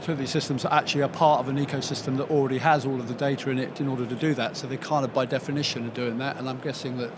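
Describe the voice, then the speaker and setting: A man's voice: mid-range voice, fairly even intonation, rapid-fire, hardly any pauses. A man speaking as an expert panelist at a live trade show seminar, giving an explanatory answer. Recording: clear background noise.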